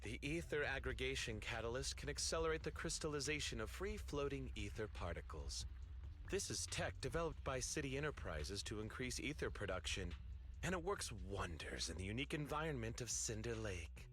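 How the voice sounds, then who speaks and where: A man's voice: medium pitch, lively intonation, fairly quick, a bit bright, natural voice. An actor voicing a scheming, power-hungry henchman in a video game, mocking and theatrical with feigned deference. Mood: smug. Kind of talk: dramatic video game voice acting.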